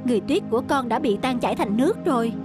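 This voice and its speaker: Woman playing a gentle high-voiced cartoon mother. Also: lively intonation, racing, close to an everyday voice. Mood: comforting. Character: gentle, comforting mother of a small girl. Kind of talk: children's cartoon dubbing. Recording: loud music behind the voice.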